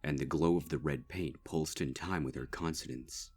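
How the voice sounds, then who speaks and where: A man giving grave, measured narration of a dark fan story. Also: a bass voice, slightly rough, soft background music. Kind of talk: dark fanfic audiobook narration.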